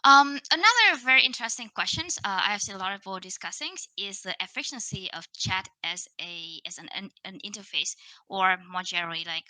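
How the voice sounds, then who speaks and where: A woman's voice: mid-range voice, expressive, fairly quick, pushed and bright, dry recording, low-quality recording. A woman from Vietnam in her thirties, speaking English with a Vietnamese accent, giving a casual technical conference talk with many fillers and restarts over a video call.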